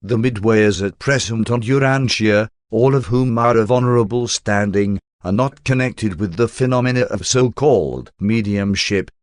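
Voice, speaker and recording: A man narrating a scripted text in a measured, formal way, like an audiobook reader. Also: fairly low pitch, dry recording, clean studio sound.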